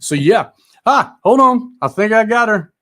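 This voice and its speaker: A man's voice: high voice, expressive, measured pace, fairly bright tone. Middle-aged male music show host, informal and rambling, with a salty, unpolished livestream style. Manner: informal, mildly profane, rambling. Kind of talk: casual live-stream interview chat.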